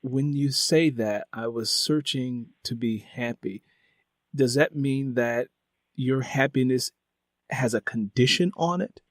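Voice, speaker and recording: A man's voice: mid-range voice, darkish tone, no echo. Man interviewing in a dry, close sound. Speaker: interviewer, host. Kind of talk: conversational interview, probing questions.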